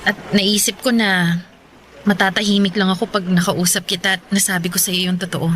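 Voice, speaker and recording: An actress playing a guilty, frank ex-girlfriend in a Filipino radio drama, apologetic and halting, with a Filipino accent. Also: medium pitch, flat delivery, fairly bright tone, slight background noise, natural voice.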